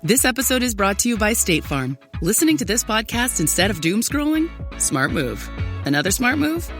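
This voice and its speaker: A woman's voice: medium pitch, big pitch swings, moderate pace, a bit bright, faint music behind. A woman announcer reading a scripted insurance advertisement.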